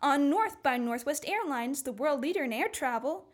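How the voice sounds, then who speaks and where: A woman's voice: high-pitched, lively intonation, moderate pace. A woman playing a polished, enthusiastic announcer reading a vintage airline radio commercial.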